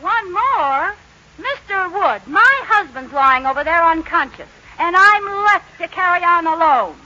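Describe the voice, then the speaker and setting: An actress playing a feisty, stubborn, scheming housewife in 1940s radio comedy, brash and argumentative. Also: female voice, very high-pitched, expressive, unhurried, bright, forceful tone, heavily acted. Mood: indignant. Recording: muffled, noisy vintage radio recording.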